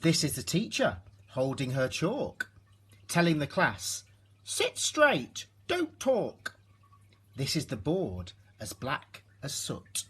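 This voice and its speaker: A man reading a rhyming children's storybook aloud in an expressive narrating style. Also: mid-range voice, breathy edge. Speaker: storybook reader.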